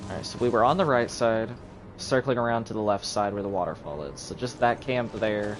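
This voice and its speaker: A man's voice: fairly low pitch, a little fast. A man giving casual gameplay commentary on a livestream, muttering asides to the game and its characters as he plays. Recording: loud game music behind voice. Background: loud video game music.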